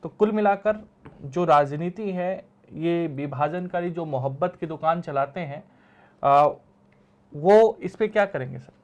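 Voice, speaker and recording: A man's voice: fairly high pitch, unhurried, highs cut off. A man hosting a political discussion programme as its presenter. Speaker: talk show host.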